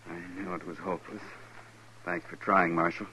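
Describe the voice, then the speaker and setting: A man playing an earnest, worried Army lieutenant, polite and guarded, with a husky voice in 1950s radio western style. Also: low voice, flat delivery, normal speed, sharp tone, a little hiss or hum, poor audio.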